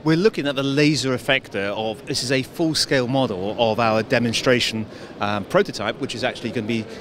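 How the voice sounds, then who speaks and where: Engineer guy explaining a prototype, calm, a bit hesitant, middle pitch. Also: a little fast, crowd noise. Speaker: defense engineer. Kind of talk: technical explanation in an interview.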